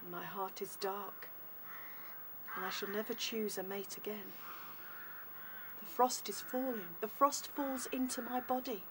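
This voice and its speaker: A woman playing a sorrowful, dignified and brave female rabbit in a radio drama, speaking gravely and with measure. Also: medium pitch, unhurried, pauses often, background noise, natural voice. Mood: mournful, poetic.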